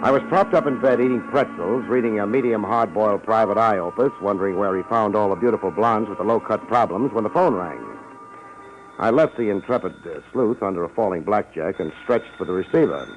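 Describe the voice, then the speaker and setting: A man's voice: lowish voice, brisk, lightly acted, low-quality recording. An actor playing a wry, easygoing American private detective in a 1950s radio drama, wisecracking and colloquial as he talks and narrates. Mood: hard-boiled narration. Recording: old radio broadcast recording with music.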